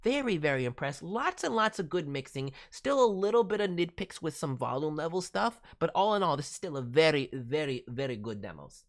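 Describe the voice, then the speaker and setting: Casting: woman as voice-director host, lowish and animated, giving emphatic, encouraging commentary. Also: brisk, few pauses. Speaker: host, voice director reviewing demo reels. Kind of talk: spoken critique, livestream commentary.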